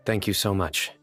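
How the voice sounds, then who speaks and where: A formidable, calm lawman from an anime game. His voice is very deep and he speaks tersely, with grave control. Music plays softly behind him. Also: no room sound, heavily acted. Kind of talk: anime video game voice acting.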